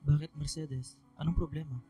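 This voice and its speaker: A man's voice: fairly high pitch, fairly even intonation, normal speed, dark tone, soft background music, natural voice. A young man acting a scared, evasive student boyfriend in a radio drama, hesitant and defensive. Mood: wary, stunned.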